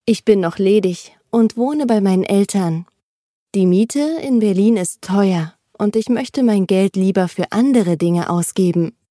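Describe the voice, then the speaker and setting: A woman with a mellow, warm tone reads short first-person texts for learners. She keeps a steady, neutral delivery, and the recording is dry and close. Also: medium pitch, unhurried, no echo. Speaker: reader of a language-learning text. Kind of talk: clear scripted reading for learners.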